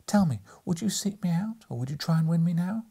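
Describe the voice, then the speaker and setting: A man voicing a gentle, sorrowful young woman in a storybook, speaking softly and earnestly. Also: on the high side, lively intonation, fairly quick, pitched above the performer's own voice, heavily acted. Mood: sad, steady. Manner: soft, earnest, breathy. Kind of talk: dramatic audiobook character reading.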